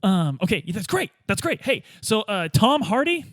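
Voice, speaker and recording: A man with a fairly high voice improvises as a player in a tabletop game. His pitch moves around a lot, and he is casual, questioning and jokey. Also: big pitch swings, normal speed, a bit bright, no echo, clean studio sound. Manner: hesitant, questioning, joking. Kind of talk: casual improvised role-play chat.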